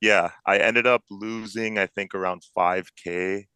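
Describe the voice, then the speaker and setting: A man in his early thirties with a Canadian accent talks casually as a guest. His voice is deep and forward with a clean, smooth edge, recorded dry and close. His phrasing is slangy and informal. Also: fairly even intonation, unhurried, bright, forceful tone, no room sound. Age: early 30s. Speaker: podcast guest, sports bettor and accountant. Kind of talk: casual interview chat.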